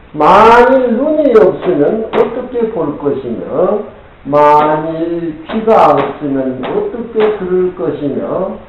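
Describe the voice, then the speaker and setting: A gruff older male teacher gives a scripture lecture, his fairly high voice swinging widely up and down as he prods his students for answers. The sound is drenched in heavy room echo. The old tape is dull and muffled, with a faint hiss underneath. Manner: gruff, keeps prodding listeners with "eh?". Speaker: Zen teacher.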